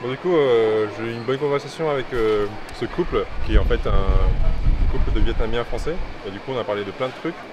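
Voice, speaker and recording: A young man talks casually to his camera, full of fillers and restarts. His voice sits at an ordinary pitch. Loud traffic and street noise surround him. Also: fairly quick. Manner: chatty, many fillers and restarts. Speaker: travel vlogger. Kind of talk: casual travel vlog. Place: busy city street outdoors.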